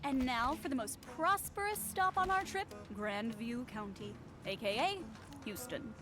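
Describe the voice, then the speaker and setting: A woman's voice: high-pitched, expressive, a little slow, music in the background. A woman voicing a chipper, slightly anxious and prim female robot driver with dry sarcasm in a video game cutscene. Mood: upbeat, cautious.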